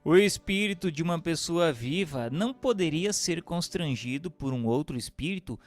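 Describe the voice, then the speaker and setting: A man narrating and posing questions from a religious text in a formal, measured, didactic way. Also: a little high, expressive. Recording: hall-like reverb, music bed.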